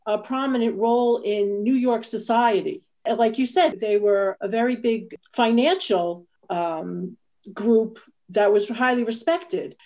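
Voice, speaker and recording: Lady on a zoom panel, voice a bit high, explaining things informally. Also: unhurried, a little mellow. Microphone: video call.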